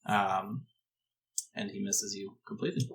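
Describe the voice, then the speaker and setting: A man's voice: on the low side, steady tone, unhurried, vocal fry, fairly bright tone, poor audio. A man improvising descriptive narration as game master of a tabletop game.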